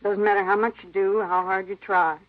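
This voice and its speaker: A woman's voice: mid-range voice, level tone, normal speed, close to an everyday voice. An actress playing an aggrieved, defiant cleaning woman with plaintive, insistent, clipped folksy phrasing in a 1950s radio drama. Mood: bitter, aggrieved. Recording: muffled vintage radio recording.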